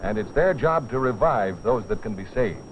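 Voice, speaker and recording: A man's voice: on the low side, expressive, normal speed, slight background noise. A man delivering dramatic, solemn scripted narration for an educational film, with rhetorical weight. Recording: dull, dated film soundtrack with music.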